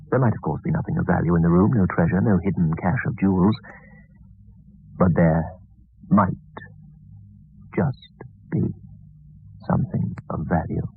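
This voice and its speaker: A male narrator tells a suspense story in a very deep, husky voice. He breaks his phrases for dramatic effect. It sounds like an old narrow-band radio recording. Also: no room sound.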